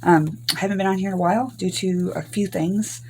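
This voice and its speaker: An older woman from Arkansas, hoarse from recent illness, chatting through a rambling crafting tutorial. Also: medium pitch, fairly even intonation, fairly quick, darkish tone, slight background noise. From Arkansas, US South. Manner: rambling, homey, many ums. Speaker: craft channel host.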